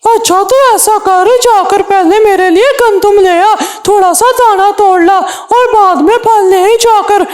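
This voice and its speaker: Woman voicing a bossy, very high-pitched cartoon crow. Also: unhurried, hardly any pauses, darkish tone. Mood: demanding. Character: bossy, sly male crow who exploits friends. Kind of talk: Hindi children's cartoon dialogue.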